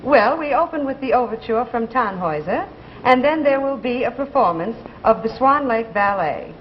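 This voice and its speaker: An actress playing a prim, snooty police receptionist in 1950s radio comedy, formal and genteel. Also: female voice, fairly high pitch, animated, moderate pace, close to an everyday voice. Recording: dull, noisy radio recording, studio audience.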